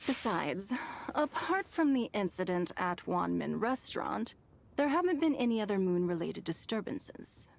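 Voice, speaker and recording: Poised leader, slightly creaky voice. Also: female voice, mid-range voice, big pitch swings. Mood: composed. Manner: measured, formal, elegant. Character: poised adult female leader, composed, shrewd, gently teasing. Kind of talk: video game voice acting.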